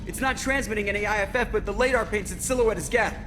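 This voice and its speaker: Man as a high-voiced wry pilot. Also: fast, music in the background, close to an everyday voice. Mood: tense, wry. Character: wry young male starship pilot. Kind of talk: video game dialogue.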